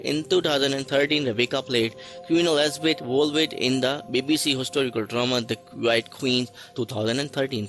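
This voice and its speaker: Man reading a list script haltingly. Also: medium pitch, a bit bright, poor audio. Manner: halting reading with stray articles and restarts. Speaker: narrator. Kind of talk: read-aloud list narration.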